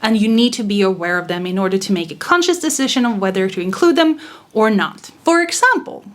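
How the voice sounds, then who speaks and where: A young woman with a Swedish accent talks straight to camera in a relaxed vlog about writing. She is candid and chatty, laughs easily and swears casually. Her voice sits at an ordinary middle pitch for a woman. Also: fairly quick. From Sweden. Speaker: writing vlogger.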